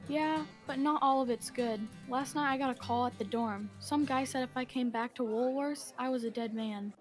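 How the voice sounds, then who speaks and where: A woman's voice: high-pitched, moderate pace, faint music behind, lightly acted. A woman acting an earnest, resolute young male student protester in an amateur radio drama.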